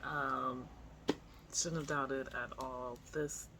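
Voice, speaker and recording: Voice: medium pitch, steady tone, extremely slow. A middle-aged vlogger talking casually and candidly in a chatty, informal video diary. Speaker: book vlogger. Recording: clear background noise, room ambience.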